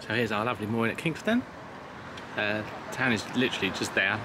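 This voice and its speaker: Male vlogger, low pitch, casual playful chat, recorded outside with clear background noise. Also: animated, brisk. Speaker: vlog host. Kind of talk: casual vlog narration. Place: outdoors by a river and boat. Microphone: handheld camera, operator behind it.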